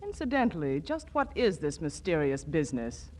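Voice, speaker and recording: Earnest, exasperated radio comedy fiancée. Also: female voice, low voice, very animated, normal speed, dark tone. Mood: exasperated, disbelieving. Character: sensible, worried young fiancée and secretary. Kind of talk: 1940s radio comedy acting.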